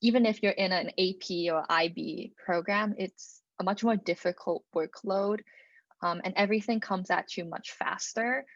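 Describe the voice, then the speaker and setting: A young woman speaks on a video call, relaxed and chatty, filling her pauses with "um" and "like". Her voice sits at an ordinary pitch. The audio is dry and a little dull. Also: dry recording. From Canada (Alberta). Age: young adult. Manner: casual, many fillers and "like". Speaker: student presenter. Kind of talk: online presentation talk. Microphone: computer mic on a video call.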